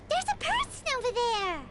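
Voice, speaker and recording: A woman voicing a tiny, childlike, cheeky and bossy female sidekick in an anime video game, with a squeaky voice. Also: very animated, slow, heavy background noise, heavily acted. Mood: excited, urgent.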